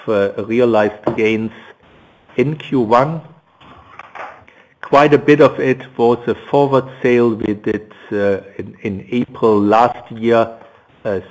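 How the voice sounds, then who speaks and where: A man with a fairly low voice gives a corporate results presentation to analysts. He speaks very slowly and haltingly, often hesitating and repeating words as he moves through the material. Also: rough recording. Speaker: company finance executive presenting quarterly results. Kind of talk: prepared results presentation to analysts, delivered loosely. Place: analysts' conference call, slides shown.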